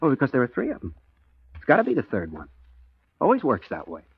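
An actor playing a chipper, guileless, self-satisfied private detective, narrating breezily in 1940s radio drama style. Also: male voice, medium pitch, wildly swooping pitch, quick pace, creaky, slightly rough, no room sound, heavily acted. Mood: cheerfully naive. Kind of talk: 1940s radio acting, first-person narration. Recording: muffled 1940s radio recording.

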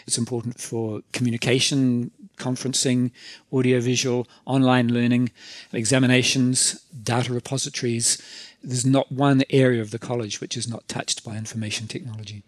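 A man with a New Zealand accent giving deliberate, methodical interview answers about the organisation he leads, laying out his points in series. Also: medium pitch, little pitch movement, no echo. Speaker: college council leader.

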